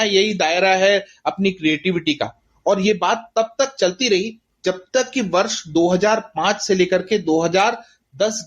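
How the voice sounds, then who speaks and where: A man's voice: high voice, dull audio. A middle-aged Indian man answering as a guest author in a literary interview, speaking earnestly and reflectively with emphatic, rhetorical repetition. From Bastar, India.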